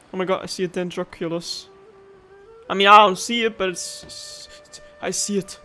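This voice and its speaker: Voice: medium pitch, bright, forceful tone. A gaming streamer giving casual let's play commentary, playful and rambling, often muttering to themselves. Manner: playful, rambling, talks to self. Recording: voice over game music.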